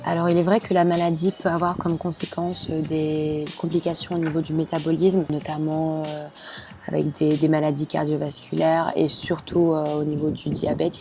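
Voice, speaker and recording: A young woman in her late teens from Belgium, telling her own story with many pauses, fillers and restarts. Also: sits low, darkish tone. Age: young adult. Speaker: student sharing her experience. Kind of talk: personal testimony to camera.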